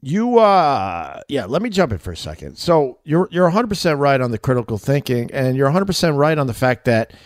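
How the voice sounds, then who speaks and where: A man's voice: medium pitch, big pitch swings, fairly quick, few pauses, no echo, studio quality. A man with an American accent and a slightly nasal voice, hosting a casual, joking chat. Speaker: host, interviewer.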